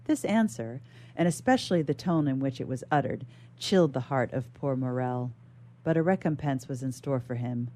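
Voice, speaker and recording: A woman reads a classic novel aloud in a low voice with a soft, mellow tone. She sounds like a guest reader on a radio show, narrating formal literary prose. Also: expressive, normal speed.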